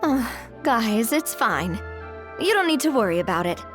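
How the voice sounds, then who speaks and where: A principled young woman from a video game. Her voice sits high and moves freely up and down. She speaks frankly and conversationally, with faint music underneath. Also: big pitch swings, a little slow. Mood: reassuring, casual. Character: earnest, principled young woman mediating for workers.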